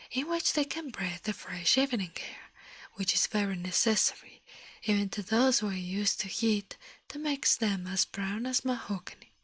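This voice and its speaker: A woman reading a story aloud in a gentle, breathy ASMR whisper, halting now and then, close to the microphone. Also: mid-range voice, dry recording, rough recording. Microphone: close microphone, dry sound.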